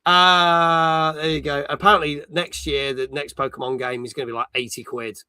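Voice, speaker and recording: A man's voice: a little high, steady tone, moderate pace, sharp tone, clear, resonant tone. A British man in his forties hosting a gaming live stream, chatting casually and reading out viewer comments. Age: 40s.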